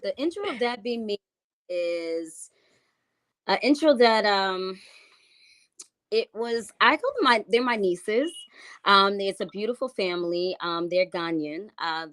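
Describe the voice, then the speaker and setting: A woman's voice: lowish voice, fairly bright tone, clean tone. A woman from New York City, a music artist, chatting casually in an interview and rambling through her answers with many fillers.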